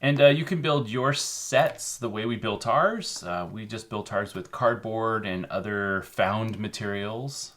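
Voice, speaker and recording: A man co-hosting a children's show, explaining a craft casually in an informal, halting way. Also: fairly low pitch, a bit bright. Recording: faint background noise.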